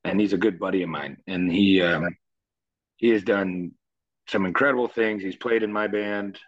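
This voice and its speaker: A low, somewhat deep male voice talks in a relaxed, meandering way, now and then chuckling. He is a musician guest in a casual interview. The sound is dull and lo-fi, like a remote recording. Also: moderate pace. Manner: easygoing, rambling, chuckles. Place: Nashville.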